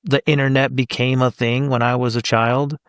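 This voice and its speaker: A man in his thirties chats casually as a podcast guest, circling his thoughts with fillers and restarts. His voice sits at an ordinary pitch with a gravelly edge, recorded dry and close. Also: flat delivery, normal speed, dry recording. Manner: rambling, thinks aloud with many fillers. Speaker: voice actor guest.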